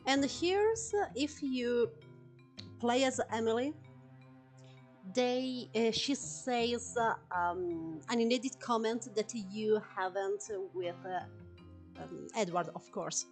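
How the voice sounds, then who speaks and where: A woman's voice: on the high side, takes it slowly, soft background music. A woman with an Italian accent whose first language is Italian, commenting casually on a game stream, hesitant and full of fillers and giggles.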